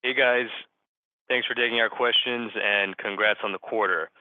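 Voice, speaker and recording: A man's voice: lowish voice, fairly even intonation, moderate pace, smooth. A male financial analyst politely asking questions on a conference call. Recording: narrow phone line.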